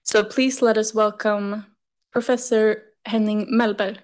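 A young woman introducing the evening's speaker at a lectern microphone in a university lecture hall, with a steady, prepared delivery. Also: mid-range voice, unhurried, background noise. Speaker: event host introducing a speaker. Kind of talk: formal introduction.

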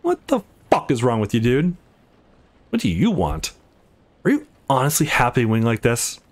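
A man's voice: medium pitch, wildly swooping pitch, normal speed. Man reading as earnest young game hero. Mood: indignant.

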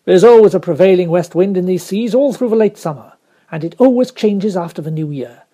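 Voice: medium pitch, brisk, dark tone, close to an everyday voice. A reader voicing a confident veteran ship's captain who speaks firmly and assuredly. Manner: firm, dismissive, assured.

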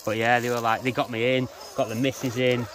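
A man's voice: mid-range voice, little pitch movement, a little fast, background noise. A middle-aged man, chatting outdoors and telling stories, calling his friend mate. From England. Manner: chatty storyteller, calls people mate. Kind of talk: casual chat. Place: outdoors by rocks.

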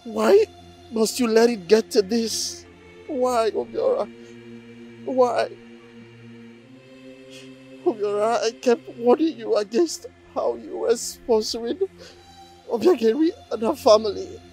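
A man's voice: very high voice, slow pace, vocal fry, long pauses, mellow, faint music behind, full character voice. A man playing a grieving, bitter friend who scolds at a grave. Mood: bitter grief. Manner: bitter, reproachful.

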